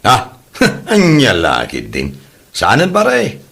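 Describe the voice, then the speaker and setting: A man's voice: mid-range voice, wildly swooping pitch, slow pace, creaky, a bit bright, slightly rough, heavily acted. A man playing a laid-back, reluctant village drinking buddy in an amateur comedy drama, with a Filipino Ilocano accent. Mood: easygoing.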